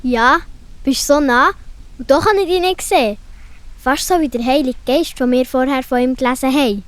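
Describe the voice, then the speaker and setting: Woman acting as cheerful young female animal, high voice, curious and childlike, Swiss German accent, children's audio story. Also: moderate pace, close to an everyday voice. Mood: playful, curious. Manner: playful, childlike.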